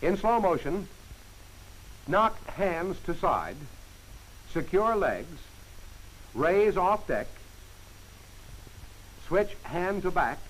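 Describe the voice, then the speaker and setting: A man giving scripted instructional narration for a training film, in crisp, clipped commands. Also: high-pitched, sing-song, very slow, pauses often, a bit bright, poor audio. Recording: hissy old film soundtrack.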